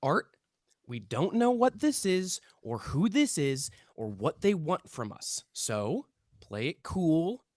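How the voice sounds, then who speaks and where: A man's voice: fairly high pitch, very animated, takes it slowly, a little mellow, dry recording, studio quality. A man playing an intense, earnest young amateur paranormal investigator in a script read, self-important and conspiratorial. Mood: ominous, grave. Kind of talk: table read of a TV pilot script.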